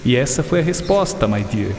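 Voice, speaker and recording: An actor playing a patient, earnest English gentleman naturalist who explains things and drops in English interjections, in an educational radio drama. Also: medium pitch, big pitch swings, brisk. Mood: earnest, explanatory. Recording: reverberant hall sound with music underneath.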